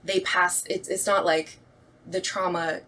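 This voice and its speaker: A woman's voice: fairly low pitch, flat delivery, measured pace, sharp tone. A woman in a casual chat as a director, explaining her thoughts in a reflective way. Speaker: radio play director and actress.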